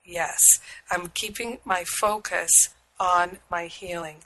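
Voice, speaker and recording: A middle-aged woman with a husky voice, hosting a spiritual radio show, speaking slowly and soothingly while recovering from a cold. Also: on the low side, fairly even intonation, sharp tone, rough recording. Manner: slow, soothing and affirming. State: recovering from illness. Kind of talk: prayer and spiritual teaching talk.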